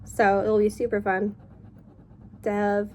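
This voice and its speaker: A woman's voice: mid-range voice, monotone, slow, darkish tone, a little hiss or hum. Chatty young woman with a cough. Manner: chatty, rambling, self-correcting. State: slight cough, losing her voice. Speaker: vlogger. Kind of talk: casual drawing vlog chat.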